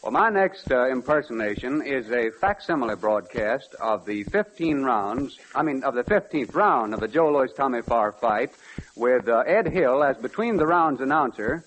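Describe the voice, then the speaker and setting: A man's voice: medium pitch, animated, normal speed, fairly bright tone. A man from North Carolina as a polite, somewhat formal guest impressionist in 1940s radio banter. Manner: courteous, formal. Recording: noisy, crackly 1940s radio broadcast.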